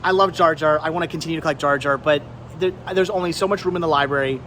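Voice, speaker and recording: A middle-aged American man with a slightly high voice talks off the cuff, rambling and doubling back on his words with nostalgic enthusiasm. It is a casual vlog, with faint ambient noise behind him. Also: fast, a bit bright. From Pennsylvania, United States. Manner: enthusiastic, nostalgic, stammering repeats. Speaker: host of a thrifting vlog. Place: flea market, among vendor stands. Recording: clear background noise, flea market ambience.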